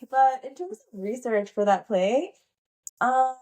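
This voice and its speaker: A woman's voice: sits high, lively intonation, slow pace, dark tone. Bubbly giggly woman, heavy fillers. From United States. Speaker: guest, pole dance instructor and playwright. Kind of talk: casual interview chat.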